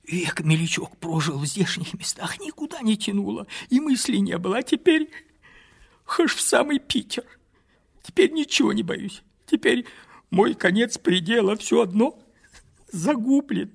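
A man voicing a humble, poor old male peasant in his sixties who drops to whispers and rises to high, excited outbursts in folksy rustic speech. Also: moderate pace, creaky, slightly rough, full character voice. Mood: pleading. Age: 60s.

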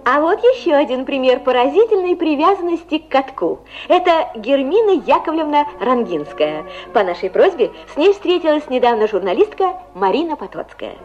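A woman presenting a radio program, reading a script and speaking directly to listeners with expressive delivery. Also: sits high, normal speed, soft background music. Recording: dull old radio recording.

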